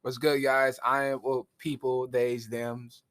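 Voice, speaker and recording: Male rapper guest, 20s, relaxed storyteller. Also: medium pitch. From Southern California. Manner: relaxed, laughs easily, drawn-out fillers. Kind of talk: casual storytelling. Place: recording studio.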